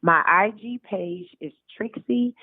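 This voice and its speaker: A woman's voice: medium pitch, takes it slowly, dry recording. A woman talking casually and candidly as an interview guest over the phone, blunt and colloquial in the way she puts things. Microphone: telephone call. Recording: narrow tinny phone line.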